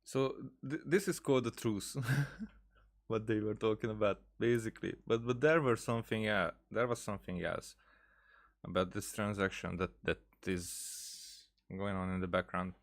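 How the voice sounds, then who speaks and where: A man giving casual game playthrough commentary as a streamer, thinking aloud haltingly and repeating words. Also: lowish voice, fairly even intonation. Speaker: gaming streamer.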